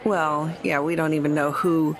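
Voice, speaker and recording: Gravelly, plain-spoken tabletop player. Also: mid-range voice, steady tone, moderate pace, music in the background, low-quality recording. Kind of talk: casual chat in a tabletop game.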